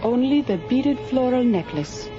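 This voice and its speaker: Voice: medium pitch, expressive, measured pace. An actor voicing an alien raider in a radio drama, pleading yet threatening, with a processed alien voice. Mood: pleading menace. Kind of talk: scripted radio drama acting. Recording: dull radio recording with music behind.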